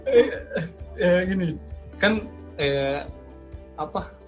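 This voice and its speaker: A man's voice: sits high, expressive, drawn-out words, a little mellow. Chatty man, dull lo-fi sound, music under. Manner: friendly, chatty, frequent "eh" hesitations. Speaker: host. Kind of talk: casual vlog talk and online interview.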